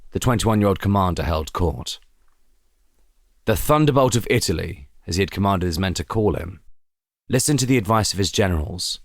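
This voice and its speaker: A man reading a scripted historical story as a narrator, in a measured, deliberate, theatrical way. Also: low voice, no echo, clean studio sound. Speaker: audio drama narrator.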